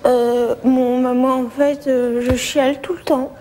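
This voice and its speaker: A man's voice: extremely high pitch, little pitch movement, warm tone, a little hiss or hum. A comedian voicing a weepy woman who drags out her words, close to tears, in a live sketch. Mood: tearful. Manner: slow, drawn-out, tearful. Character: weepy whining woman always near tears.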